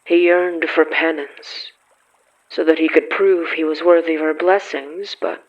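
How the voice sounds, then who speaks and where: Slow, solemn male narrator. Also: on the high side, steady tone, muffled recording. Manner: solemn, measured, with dramatic pauses. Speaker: narrator of a horror fiction podcast. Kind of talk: scripted literary narration.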